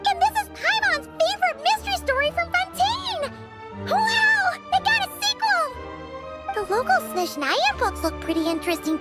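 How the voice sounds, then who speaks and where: A woman voicing a tiny, excitable, greedy fairy companion in an animated game, exclaiming and talking about herself by name. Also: very high-pitched, exaggerated voice. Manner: refers to self in third person, exclamatory. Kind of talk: animated game cutscene acting.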